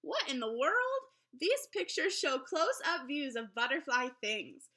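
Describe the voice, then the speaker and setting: A woman teacher reading a children's book aloud in an expressive way, pausing to explain things simply to young listeners. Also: high-pitched. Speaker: elementary school teacher.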